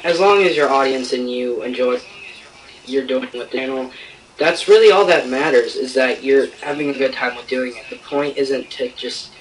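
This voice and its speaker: Easygoing male co-host, mid-pitch, off-mic and a little distant. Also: normal speed, a little hiss or hum. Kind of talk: casual chat.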